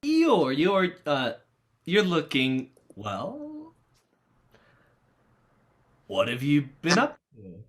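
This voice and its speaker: A man's voice: a little high, huge pitch swings, very slow, long pauses, fairly bright tone, full character voice. A man playing an awkward, kindly teenage boy, hesitant and placating, in a comic stage play reading.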